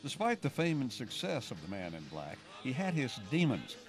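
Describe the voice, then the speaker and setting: A man's voice: mid-range voice, huge pitch swings, normal speed, over loud music. A man reading scripted narration for a television news feature as the reporter.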